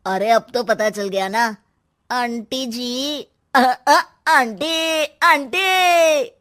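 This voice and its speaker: Woman doing a mean teasing college girl, cartoon style, high voice, stretches words out to mock. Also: a bit bright, heavily acted. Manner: taunting, drawn-out sneering. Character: mocking young female classmate, gossipy bully. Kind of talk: dramatized Hindi moral story cartoon.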